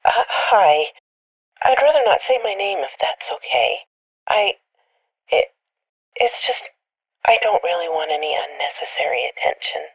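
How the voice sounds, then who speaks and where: A woman's voice: fairly low pitch, expressive, unhurried, pauses often, breathy edge, full character voice. An actress playing a reluctant, anonymous woman caller, hesitantly telling her story in a conversational monologue. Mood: nervous, reluctant. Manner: hesitant, self-correcting. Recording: dull lo-fi tipline recording. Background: faint music.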